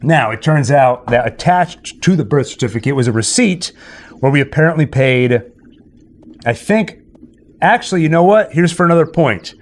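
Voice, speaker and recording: A man's voice: a little high, expressive, moderate pace. A man hosting a live stream in casual banter, telling stories and running a quiz with playful asides to the chat. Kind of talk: casual livestream banter and storytelling. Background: music.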